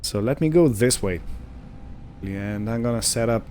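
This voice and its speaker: A man giving casual let's-play commentary on a video game, musing to himself in an unhurried way. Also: lowish voice, lively intonation, a little mellow, a little hiss or hum. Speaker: gamer narrating own farming simulator playthrough.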